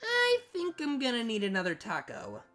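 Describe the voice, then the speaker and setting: A bubbly, naive cartoon girl voiced by a woman for a storybook reading. She is earnest and ditzy, with a high voice that leaps all over, over soft music. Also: wildly swooping pitch, moderate pace. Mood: hopeful, wistful. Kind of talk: storybook fan fiction reading.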